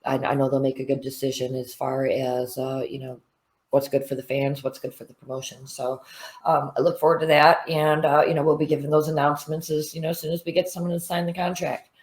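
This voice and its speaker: A woman's voice: sits low, level tone, a little fast, darkish tone. A woman in her thirties, a fighter, talking frankly in a casual interview, swearing freely and full of fillers. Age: 30s. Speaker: bare knuckle fighter.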